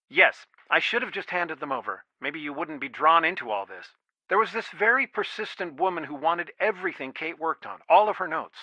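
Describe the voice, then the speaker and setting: A man's voice: on the high side, sharp tone, dry recording, full character voice. An actor playing a worried, protective middle-aged father in a video game, earnest and pleading, heard through a telephone filter. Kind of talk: naturalistic video game dialogue, one side of a phone call. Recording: dry, band-limited phone-like sound.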